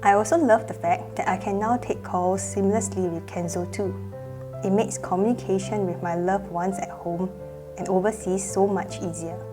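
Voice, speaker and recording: A woman's voice: medium pitch, fairly even intonation, normal speed. Young woman's testimonial over music. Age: 20s. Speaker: product user giving testimonial. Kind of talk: scripted testimonial.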